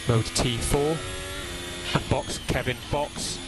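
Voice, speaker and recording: A man's voice: medium pitch, fairly even intonation, takes it slowly, very noisy. A man working as a race engineer, giving terse, matter-of-fact instructions over team radio. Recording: team radio with loud background sound.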